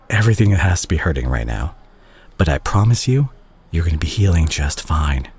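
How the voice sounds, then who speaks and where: Man playing a deep, husky doctor ex-boyfriend. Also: darkish tone, full character voice. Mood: reassuring, urgent. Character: doctor ex-boyfriend, bitter, remorseful yet caring. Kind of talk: intimate scripted audio roleplay to the listener.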